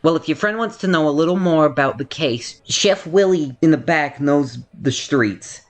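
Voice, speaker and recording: Guy playing a curt informant, slightly high voice, dry and fed up, audio drama comedy. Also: animated, moderate pace, lightly acted. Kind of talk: comedic audio play acting.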